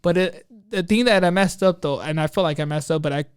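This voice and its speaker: A man with a fairly high, smooth voice tells an anecdote on a casual podcast. He rambles excitably in slang, acting out his own asides, in a very dry, close space. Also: a little fast, no room sound. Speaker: podcast co-host telling a personal story. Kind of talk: casual chat, anecdote storytelling.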